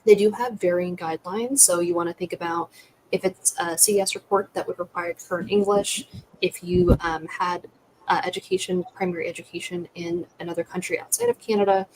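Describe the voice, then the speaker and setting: A woman's voice: fairly low pitch, fairly even intonation, fairly quick, a little mellow, low-quality recording. A young woman giving methodical, advisory answers as a licensing expert on a webinar panel. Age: young adult. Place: indoor room with curtains. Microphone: computer microphone on video call. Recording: faint background noise on video call.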